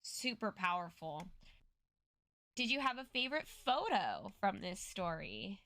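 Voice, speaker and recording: A woman's voice: medium pitch, big pitch swings, unhurried, pushed and bright, no room sound. A woman co-hosting a recap show, giving casual commentary in an earnest, explanatory way. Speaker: co-host of a story recap show.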